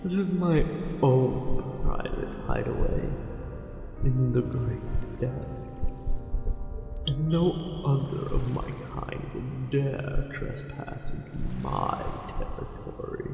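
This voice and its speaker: A man voicing a possessive, predatory merman in an intimate ASMR roleplay, speaking seductively with formal, drawn-out phrasing. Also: medium pitch, very animated, gravelly, exaggerated voice. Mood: soft, possessive. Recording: muffled narrow-band sound, heavy echo, music underneath.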